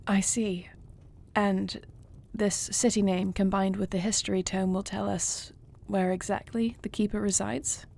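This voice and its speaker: A woman playing an affectionate, dutiful queen in an intimate fantasy audio roleplay, speaking softly and formally with measured, murmuring diction. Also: mid-range voice, flat delivery, creaky. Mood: intrigued, pleased. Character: affectionate, dutiful dragon queen, newly wed to a princess.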